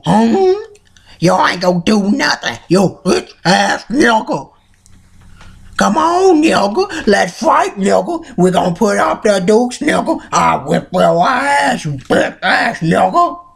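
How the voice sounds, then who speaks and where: A man's voice: high voice, vocal fry, a bit bright, slightly rough, heavily acted. A man doing an impression of a belligerent blind old cartoon man who taunts and threatens to fight, recorded on a webcam in a small room at home. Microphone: webcam at arm's length.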